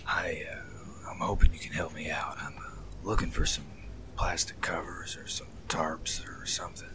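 An actor playing a tall, thin serial killer who passes as a polite shopper, hushed and breathy, with hesitant, folksy small talk in an audio play. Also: male voice, extremely low pitch, level tone, normal speed, in a whisper. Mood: casual, polite. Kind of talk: audio drama acting.

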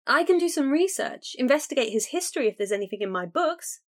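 A woman's voice: high-pitched, big pitch swings, fast, voice pushed up. A woman reading an audiobook, voicing an eager young woman who urgently presses her sister to take a chance. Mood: eager pleading. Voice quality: bright, urgent and persuasive. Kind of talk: audiobook character voice.

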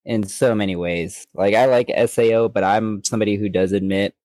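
A man's voice: lowish voice, fairly even intonation, brisk, a little mellow, dry recording. A man co-hosting a podcast in casual, conversational chat. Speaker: podcast co-host.

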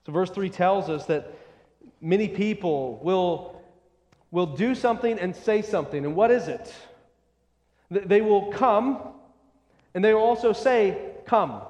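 A man preaching an expository sermon, speaking emphatically and deliberately and repeating short phrases for weight. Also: high voice, a little mellow. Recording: long echoing hall reverb.

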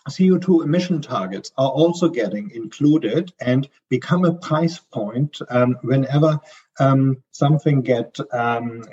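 German man, hesitant consultant guest, husky and mellow, slightly dull audio. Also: medium pitch. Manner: hesitant, many ums and restarts. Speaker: supply chain consultant guest. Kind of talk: podcast interview answers.

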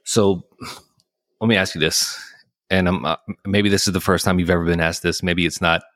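A man's voice: low-pitched, steady tone, normal speed, fairly bright tone. A man, hosting a podcast in a laid-back, joking way. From Alabama, United States. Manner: jokey, laid-back, says y'all. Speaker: podcast host. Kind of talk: casual chat.

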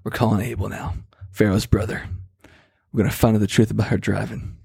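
A man's voice: on the low side, level tone, fairly quick, gravelly, mellow, slight background noise. A man hosting a casual phone interview with a teasing, bantering way of talking. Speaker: host of a call-in segment.

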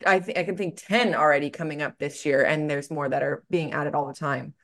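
A woman's voice: deep voice, fairly even intonation, fairly quick, a bit bright. A young woman co-hosting a podcast in casual chat, with a laid-back, informal, slangy way of talking. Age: young adult. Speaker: podcast co-host, softball player.

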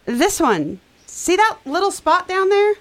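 Guarded young woman, lively intonation, wry, good-humoured, naturalistic audio drama. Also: very high-pitched, a little slow, exaggerated voice. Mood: playfully sheepish.

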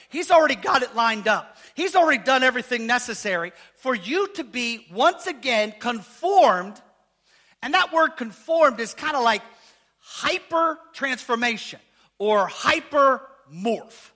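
Pastor, male, unusually high and bright voice, casual and joking, quizzes the congregation, bursts into shouts. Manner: animated, rising to shouts, asks the congregation questions. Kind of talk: sermon.

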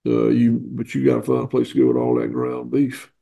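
An older Southern man speaks in a gravelly, husky voice, stuffed up from a cold. He talks in a plainspoken, folksy way over a video call, with a dark, mellow tone. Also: mid-range voice, very animated. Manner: folksy, plainspoken, halting with fillers. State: head cold, stuffy nose. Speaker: guest farmer. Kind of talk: casual interview.

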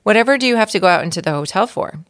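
A woman's voice: mid-range voice, animated, racing, no room sound, clean studio sound, pitched above the performer's own voice, close to an everyday voice. Woman as older uncle mouse, storybook, skeptical, blustery.

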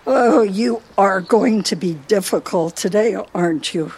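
A woman's voice: medium pitch, unhurried, darkish tone, slightly rough. A woman playing a feisty elderly wife in a radio play, teasing and needling her husband theatrically. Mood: fond exasperation.

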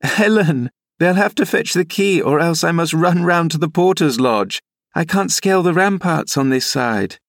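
A man's voice: on the high side, expressive, normal speed, no room sound, studio quality, voice pushed up. A man reading as an impulsive, devoted young lady in a classic novel, with earnest, pleading delivery. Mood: breathless laughing. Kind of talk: audiobook character reading.

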